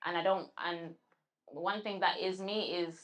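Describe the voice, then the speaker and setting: Woman poet, halting and reflective. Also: fairly low pitch, fairly even intonation. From London. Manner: halting, searching for words with restarts when answering. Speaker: guest poet. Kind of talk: spoken word recital and interview answers.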